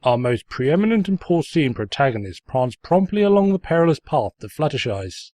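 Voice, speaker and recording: A man's voice: a little high, big pitch swings, moderate pace, dark tone, dry recording, studio quality. A man playing a sarcastic, pompous narrator who mockingly tells a comic fan fiction story. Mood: smug. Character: snide, mocking male storybook narrator who insults the heroine.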